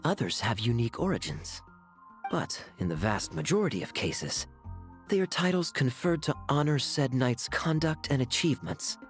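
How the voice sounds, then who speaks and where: A man's voice: medium pitch, big pitch swings, normal speed, faint music behind, close to an everyday voice. A male actor voicing a suave, sly, amused knight in a video game, with a playful, teasing delivery. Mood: courteous, musing. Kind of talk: video game character dialogue.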